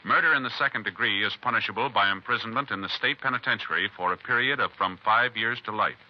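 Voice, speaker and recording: An actor as a formal, impersonal announcer, reading 1950s radio narration in a crisp, matter-of-fact way. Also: male voice, fairly low pitch, fast talker, lightly acted. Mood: official, matter-of-fact. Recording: dull, noisy vintage radio recording.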